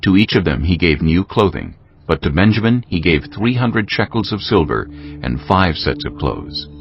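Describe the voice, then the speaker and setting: A man giving a solemn, measured scripted narration of scripture. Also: deep voice, highs cut off.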